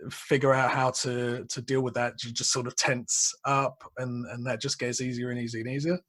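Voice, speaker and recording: A man hosting a podcast, asking curious follow-up questions in a relaxed conversational way. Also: mid-range voice, moderate pace. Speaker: podcast host. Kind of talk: casual interview questions.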